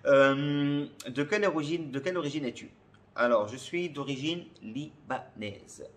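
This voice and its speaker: A man's voice: fairly high pitch, measured pace. A man from Côte d'Ivoire answers questions in a casual vlog, often searching for words and repeating phrases. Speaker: comedy video creator.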